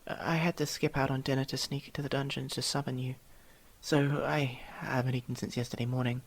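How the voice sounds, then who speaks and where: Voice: mid-range voice, flat delivery, fairly quick, breathy edge. A performer voicing a curious, proper young elf mage student in an ASMR roleplay, inquisitive and a little formal, with hesitant stammers. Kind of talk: ASMR roleplay, one-sided dialogue with the listener.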